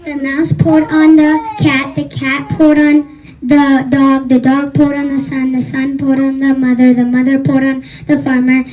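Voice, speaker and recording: A child reading a story aloud as narrator in a school readers' theater, halting over the lines. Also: sits high, completely flat delivery. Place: school event, mic at a distance. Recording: muffled, distant microphone.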